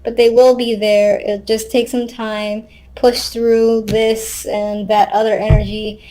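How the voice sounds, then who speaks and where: A woman's voice: a little high, little pitch movement, slow pace, dark tone, poor audio. A woman giving a casual, unscripted tarot reading, thinking aloud conversationally with wry asides. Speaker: tarot card reader.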